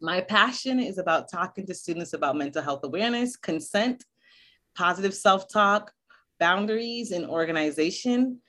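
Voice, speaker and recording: A middle-aged woman school counselor, born in Barbados and raised in Boston, speaking in an earnest, measured way as a podcast guest. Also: on the low side, animated, a bit bright. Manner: earnest, measured, listing points. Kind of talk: podcast interview.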